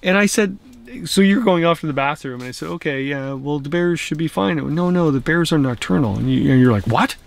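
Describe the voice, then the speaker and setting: A middle-aged man with a gravelly, slightly high voice talks casually to a camera held at arm's length. He rambles and chuckles as he tells a campfire legend, filmed in a tent and then out on a trail. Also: brisk, dry recording. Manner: rambling, chuckling, animated storyteller. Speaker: camping vlogger. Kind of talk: casual storytelling and trail commentary. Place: inside a tent at night, then outdoors on a trail. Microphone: handheld camera at arm's length.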